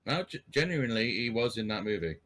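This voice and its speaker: Man with lively, swooping intonation. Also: lowish voice, fast talker. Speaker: podcast guest. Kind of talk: casual film podcast chat.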